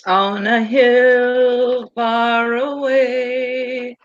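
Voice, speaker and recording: Woman w smoky voice singing old hymns on a video call, real slow, muffled lo-fi audio. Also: fairly high pitch, little pitch movement. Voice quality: smoker's voice. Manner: unhurried, hums when she forgets words. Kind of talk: casual chat and singing hymns.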